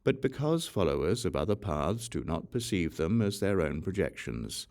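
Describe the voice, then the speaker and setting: Deep, creaky-voiced male audiobook narrator. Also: darkish tone. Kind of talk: scripted reading of a Buddhist sutra.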